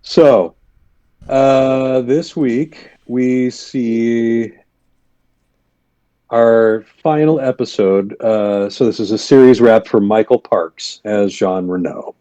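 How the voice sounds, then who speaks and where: A man's voice: mid-range voice, slow, warm tone. A man co-hosting a podcast, chatting casually and thoughtfully with many fillers. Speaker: podcast co-host. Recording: dull lo-fi sound.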